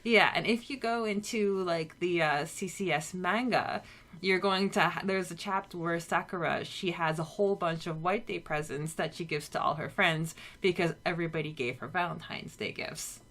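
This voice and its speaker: Lower-voiced woman chatting casually. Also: moderate pace, a bit bright. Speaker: podcast host. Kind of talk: casual chat.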